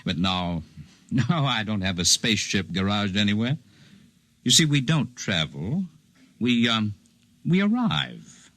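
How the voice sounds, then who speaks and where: An actor playing a calm, erudite alien healer in a 1950s radio drama, speaking in formal, precise, gently condescending phrases. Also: male voice, fairly low pitch, unhurried, long pauses, no room sound, exaggerated voice. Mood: courteous, scholarly. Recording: 1950s radio broadcast recording.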